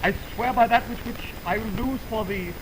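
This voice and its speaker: A man's voice: sits high, moderate pace, lightly acted. A man playing an ardent, impetuous young lover in theatrical, declamatory 1920s radio Shakespeare style. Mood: defiant. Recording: noisy 1929 radio broadcast on optical film.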